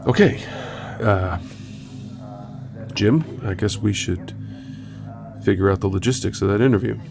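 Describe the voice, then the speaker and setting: An actor playing a cautious, conciliatory local radio reporter in an audio drama, speaking in a halting, placating way. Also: male voice, on the low side, vocal fry, music in the background. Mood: uneasy, resigned. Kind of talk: naturalistic audio drama acting.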